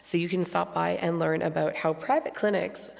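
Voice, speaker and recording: A hoarse woman giving informal, somewhat halting church announcements as a board member. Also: on the low side, fairly even intonation. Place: large church sanctuary. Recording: long hall reverb from church sound system.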